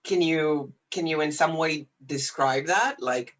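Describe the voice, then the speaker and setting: A man hosting a podcast interview, offering soft encouraging murmurs and gentle questions. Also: a little high, measured pace. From Israel. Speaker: podcast host. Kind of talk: casual interview.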